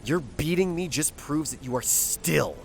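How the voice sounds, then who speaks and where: A man's voice: fairly high pitch, lively intonation, unhurried, creaky. An actor playing a wisecracking adult male ship skipper in a fan audio drama, sarcastic and taunting. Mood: mocking. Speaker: hero. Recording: music underneath.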